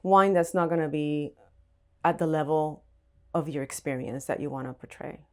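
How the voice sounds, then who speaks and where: A woman's voice: low voice, moderate pace, a little mellow. A woman being interviewed as a wine bar owner, speaking conversationally and stressing points through repetition. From Puerto Rico.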